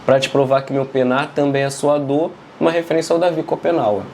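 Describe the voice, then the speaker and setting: A Brazilian man explaining the meaning of samba lyrics in a measured way, often tagging "né?". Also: on the high side, fairly even intonation, vocal fry, darkish tone, a little hiss or hum. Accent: Brazilian Portuguese. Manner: measured, explanatory, many "né?" tags.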